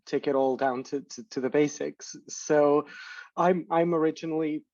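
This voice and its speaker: A man's voice: on the high side, fairly even intonation, normal speed, darkish tone, no echo. Man from Portugal on a dull distant call. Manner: thoughtful, many restarts and repeated words. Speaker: startup founder guest. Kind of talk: interview answers.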